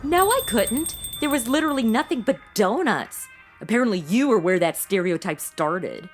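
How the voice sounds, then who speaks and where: A woman's voice: fairly high pitch, expressive, moderate pace, faint music behind, natural voice. A young woman playing a sassy, impatient modern twenty-something in a comedic audio drama, with a sarcastic, snarky delivery. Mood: complaining. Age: young adult. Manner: sarcastic, snarky, exaggerated reactions.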